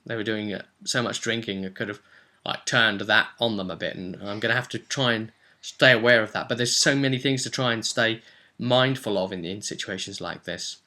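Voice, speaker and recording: Bright-voiced man recapping a tabletop game. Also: fairly low pitch, fairly quick. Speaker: game master and vlog host. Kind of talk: casual recap of a tabletop role-playing session.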